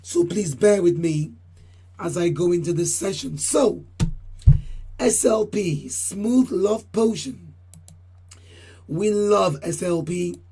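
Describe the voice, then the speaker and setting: Female channel host, very husky and mellow, voice deepened by a recent cold, formal and repetitive delivery. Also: animated, slow pace, long pauses, faint music behind. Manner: formal, repeats phrases, addresses viewers as ladies and gentlemen. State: voice deeper than usual from a cold. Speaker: channel host giving crypto price updates. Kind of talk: solo market update talk.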